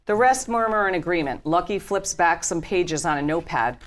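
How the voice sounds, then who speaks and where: Lowish-voiced woman narrating a script. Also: lively intonation, fairly quick, slight background noise. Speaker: narrator reading stage directions. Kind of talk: scripted narration at a table reading.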